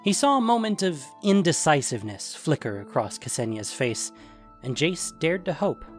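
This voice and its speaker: A man's voice: a little high, sing-song, moderate pace, faint music behind. A man narrating a scripted fantasy adventure story.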